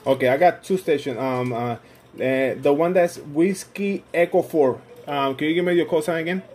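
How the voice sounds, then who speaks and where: A man's voice: on the high side, moderate pace, a little mellow. Puerto Rican man on noisy recording. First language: Spanish. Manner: friendly, repetitive. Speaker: amateur radio operator. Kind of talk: casual ham radio contact chat. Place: indoors at a radio station. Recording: noisy phone recording beside radio.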